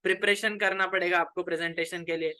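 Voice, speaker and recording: A man's voice: high-pitched, steady tone, quick pace, bright, forceful tone, smooth. A man with an Indian accent teaching as a commerce tutor, chatty and colloquial, speaking straight to students in an informal lecture that mixes Hindi and English.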